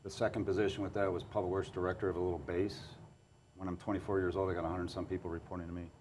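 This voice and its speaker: A middle-aged man hosting a podcast interview, affirming his guests with quick "right"s. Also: deep voice, flat delivery, darkish tone, a little hiss or hum. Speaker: podcast host.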